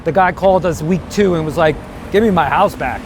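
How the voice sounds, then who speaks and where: Higher-voiced man telling rambling stories. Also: a little fast, a little hiss or hum. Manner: rambling storyteller with swearing. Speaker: comedian guest. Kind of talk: casual street chat. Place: outdoors on a city street.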